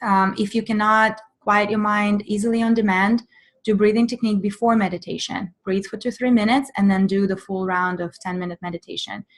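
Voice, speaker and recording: A woman's voice: medium pitch, fairly even intonation, brisk. A woman from Russia's Far East with a Russian accent, a mindfulness coach answering webinar questions in a direct, practical, instructive way. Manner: direct, practical advice-giving.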